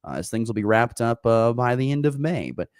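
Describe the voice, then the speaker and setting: Hoarse male sports talk host, upbeat, filler-heavy, mid-pitched, dry close sound. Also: very animated, brisk, darkish tone, no room sound. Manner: upbeat, rambling with many uh fillers. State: hoarse, slight sore throat. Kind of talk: morning sports talk show.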